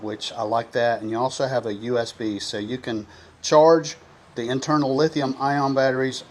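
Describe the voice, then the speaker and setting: A man giving a casual, folksy and enthusiastic product review to camera. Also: mid-range voice.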